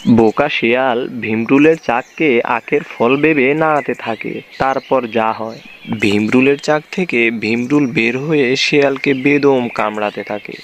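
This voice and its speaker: A man tells a scripted children's story as narrator. His pitch is average, and there is faint insect-like ambient noise behind him. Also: unhurried.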